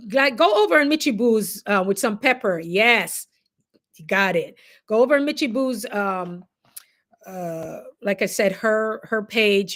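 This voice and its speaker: A woman with a Jamaican accent hosting a casual livestream, talking directly to viewers in a conversational, encouraging way. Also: medium pitch. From Caribbean, Jamaica. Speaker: livestream host, coach.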